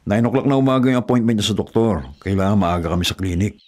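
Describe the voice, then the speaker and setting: A man's voice: on the low side, fast, a little mellow, lightly acted. An actor with a Filipino accent playing a tired, devoted young working man in a radio drama, earnest, reassuring and apologetic. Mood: weary, apologetic. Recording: music under the voice.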